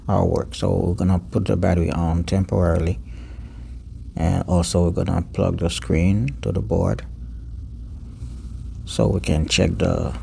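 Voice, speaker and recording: A man giving an unscripted step-by-step repair tutorial, pausing mid-sentence and repeating words as he goes. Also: low-pitched, vocal fry, mellow, a little hiss or hum.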